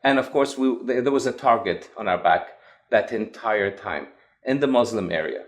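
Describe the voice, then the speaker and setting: A man from Lebanon with an English accent, a guest recounting his past in an interview with animated, emphatic delivery. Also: fairly low pitch, normal speed. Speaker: guest, evangelist. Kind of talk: interview storytelling.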